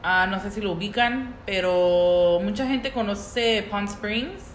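A woman's voice: medium pitch, slow. A young Mexican-American woman with a mid-range voice chats easily and flips between Spanish and English. She is heard in a roomy echo with a faint background hiss. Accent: Mexican-American Spanish, Spanglish. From United States. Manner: chatty, laughs easily, switches into English. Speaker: podcast co-host. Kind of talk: casual bilingual chat. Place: workplace.

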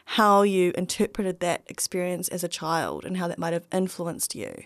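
Low-voiced woman hosting a podcast. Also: normal speed, dry recording, clean studio sound. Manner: friendly, laughs often. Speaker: podcast host. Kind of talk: casual podcast interview.

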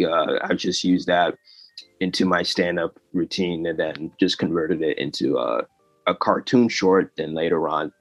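A man in his twenties, a guest on a podcast, talking in a relaxed, hesitant way with lots of fillers. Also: low-pitched, no room sound, muffled recording. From United States. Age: 20s. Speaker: animator and guest. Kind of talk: casual interview chat.

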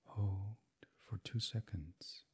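A man leading a gentle guided sleep meditation in a soothing, hushed, breathy voice that drifts toward whispering. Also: on the low side, slow pace.

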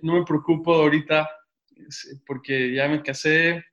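A man's voice: a little high, steady tone, a little slow, fairly bright tone, dull audio. A man with a Mexican accent, chatting casually as an interview guest. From Mexico City, Mexico. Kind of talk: casual chat. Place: at home.

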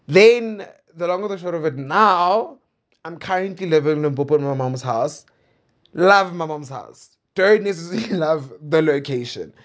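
A man in his twenties with a South African accent speaks in a fairly high voice. He talks as if recording a personal diary, casual, candid and conversational, with playful asides. The sound is a little dull and lo-fi. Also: moderate pace. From South Africa, suburban Centurion. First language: Pedi. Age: 20s. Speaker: host of a personal diary podcast. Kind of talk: casual confessional monologue.

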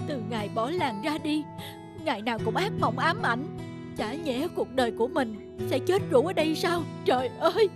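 A woman voicing a dramatic, boastful, guilt-ridden young male hunter in an animated folk tale, given to exclaiming and talking to himself. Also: sits high, moderate pace. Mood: despairing. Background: music.

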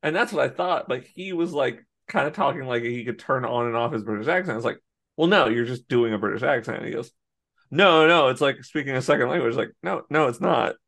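Gravelly American man telling stories. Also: medium pitch, expressive, brisk, fairly bright tone. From United States. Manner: laughs often, rambling storytelling with restarts. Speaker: podcast co-host. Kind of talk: casual chat.

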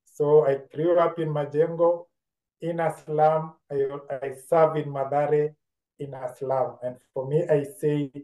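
A Kenyan man with a soft-edged, mellow voice, pitched a little high, speaks as an interview guest. He is reflective and deliberate, circling back on phrases, and the room around him echoes noticeably. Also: little pitch movement. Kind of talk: reflective conversational storytelling. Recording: roomy, echoing sound.

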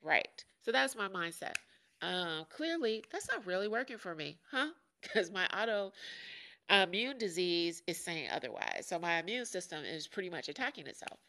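Podcast host, woman in her 40s from Chicago; deep nasal tone, sharp edge, frank and joking. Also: sing-song, normal speed. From Chicago, USA. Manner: frank and joking, laughs often. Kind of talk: casual candid monologue.

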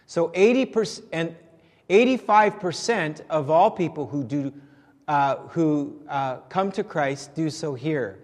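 Man, pastor, sermon, fairly high voice, long hall reverb, halting explanations. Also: a little mellow. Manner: explanatory, with frequent fillers and restarts. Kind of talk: sermon to a congregation. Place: church sanctuary.

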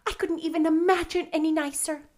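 A woman reading a storybook as an excitable, gushing young girl who exclaims with romantic gratitude. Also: very high voice, a little fast, voice pushed up. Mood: excited. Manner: effusive, rapturous exclamations. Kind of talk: storybook narration character voice.

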